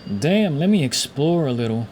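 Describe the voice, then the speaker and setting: A man's voice: fairly high pitch, very animated, normal speed, a little mellow. A man giving informal, slangy playthrough commentary and reading game text aloud. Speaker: gaming content creator. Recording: faint background noise from game.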